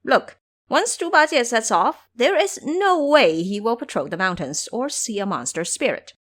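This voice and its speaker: Scheming male monkey disciple, played by a woman, cocky and commanding, very lively pitch, audio drama. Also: moderate pace. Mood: sly, animated.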